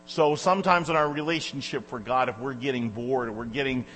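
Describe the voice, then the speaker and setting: A man's voice: medium pitch, fairly quick. A man in his forties from Michigan, a guest preacher giving a rambling, informal introduction to a church congregation. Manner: loud, chatty, rambling with many fillers. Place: church sanctuary. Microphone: handheld or stand microphone. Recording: echoey church hall with mains hum.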